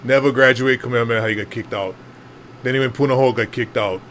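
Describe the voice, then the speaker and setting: Middle-aged island man, Hawaiian Pidgin lilt, easygoing panel storyteller who jokes at himself; average pitch, slight room hiss behind him. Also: fairly even intonation, quick pace, fairly bright tone. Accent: Hawaiian Pidgin-tinged English. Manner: chatty and self-deprecating, laughs often, many fillers. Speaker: panel speaker. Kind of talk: casual storytelling to a group.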